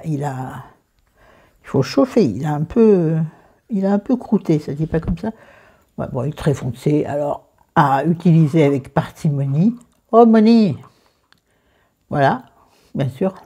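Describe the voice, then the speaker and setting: A middle-aged woman with a southern French accent talks through her makeup in a loose, self-mocking way. Her voice sits low, with a mellow, husky tone. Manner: chatty, self-mocking, talks to viewers and friends by name. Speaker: beauty vlogger. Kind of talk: casual makeup and skincare chat.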